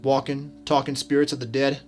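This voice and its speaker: A man playing an earnest, modest, principled detective and mentor in a radio drama, measured and serious. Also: mid-range voice, level tone, a little mellow, faint music behind, close to an everyday voice. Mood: serious, confiding.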